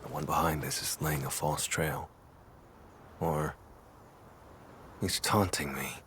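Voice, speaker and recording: A man voicing a stoic, brooding vampire hunter in a video game, speaking in a terse, grave and deliberate way. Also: very deep voice, steady tone, fairly bright tone, a little hiss or hum, full character voice. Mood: watchful, analytical. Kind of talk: scripted game dialogue.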